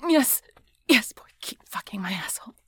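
A woman playing a defiant, domineering female boss in an adult audio drama, with breathy delivery that often drops to a hush. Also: medium pitch, lively intonation, normal speed, no room sound, in a whisper. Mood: breathless, taunting. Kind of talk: erotic audio roleplay acting.

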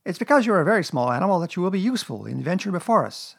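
A man voicing a bossy, self-important rabbit in a children's storybook, brisk, pompous and emphatic, as if lecturing. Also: high-pitched, sing-song, gravelly, husky, pitched above the performer's own voice, exaggerated voice. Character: bossy, self-important male rabbit who makes plans. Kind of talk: children's storybook character reading.

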